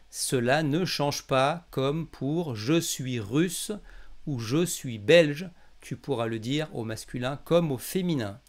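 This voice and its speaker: A man from France with a French accent, teaching a language lesson in a didactic way and carefully enunciating example phrases. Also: medium pitch.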